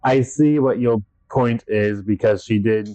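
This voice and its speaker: A man's voice: on the low side, a little slow, mellow, no room sound. A young man co-hosting a casual podcast chat, easygoing and a bit hesitant. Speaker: podcast co-host. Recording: dry, dull lo-fi sound.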